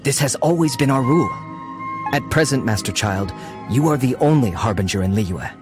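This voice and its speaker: A man's voice: medium pitch, expressive, moderate pace, lightly acted. A man playing a deferential, dutiful soldier in a video game, speaking formally and respectfully to his superior. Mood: earnest, insistent. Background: prominent background music.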